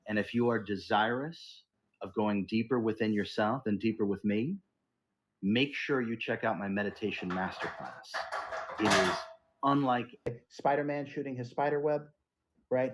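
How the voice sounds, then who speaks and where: A man with a husky, slightly breathy voice speaks in an earnest, emphatic way. He is a podcast host teaching about spirituality, and his voice sits at a middle pitch. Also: moderate pace, dull audio.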